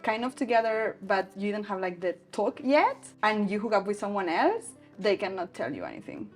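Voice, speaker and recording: A woman's voice: medium pitch, big pitch swings, moderate pace, soft background music. A young woman from Spain, speaking frankly and assertively as a guest in a casual group discussion. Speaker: panel guest.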